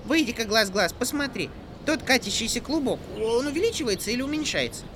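A man's voice: extremely high pitch, sing-song, fairly quick, noisy background, pitched above the performer's own voice. A man voicing an anxious, bossy old village mother in a folk tale, with music behind. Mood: urgent, anxious. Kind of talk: storybook character voice in a folk tale.

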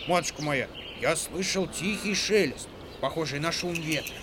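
Man acting a timid, high-voiced peasant boy. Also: moderate pace, background noise, natural voice. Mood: hushed, wary. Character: timid peasant son reporting fearfully to his mother. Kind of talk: storybook character voice in a folk tale. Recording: music behind the voice.

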